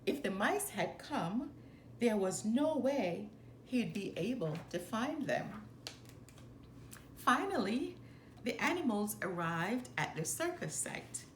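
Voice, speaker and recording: Animated, unhurried woman reading a storybook. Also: mid-range voice. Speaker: children's storybook reader. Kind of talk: expressive scripted read-aloud narration for children. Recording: roomy echo, faint background noise.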